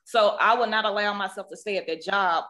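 A woman with a deep Southern accent, an ICU nurse and podcast co-host, telling her story in an animated way and laughing easily. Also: a little fast. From Southern United States. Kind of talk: casual podcast chat.

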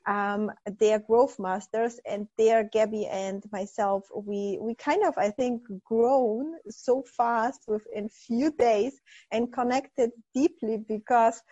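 A woman's voice: a little high, fairly even intonation, takes it slowly, mellow, muffled recording. A woman hosting a podcast interview, talking in an effusive, enthusiastic motivational way. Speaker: podcast host.

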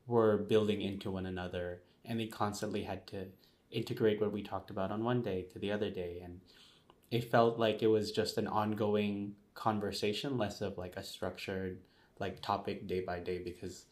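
A man, a guest in an interview, speaking in a relaxed, casual way with frequent filler words. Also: lowish voice. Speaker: interview guest, former seminar student.

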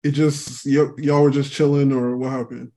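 A man's voice: medium pitch, flat delivery, moderate pace, dark tone. A man hosting a podcast, asking laid-back interview questions. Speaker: podcast host.

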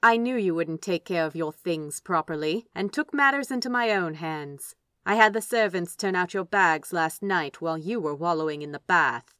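A cool, haughty royal sibling acted by a woman in an audio drama. The low-leaning voice is very smooth and closely dry, drawling with efficient disdain. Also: normal speed, a bit bright, no echo, natural voice. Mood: smug disdain. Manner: drawling, dismissive. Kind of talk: multivoice fan audio drama.

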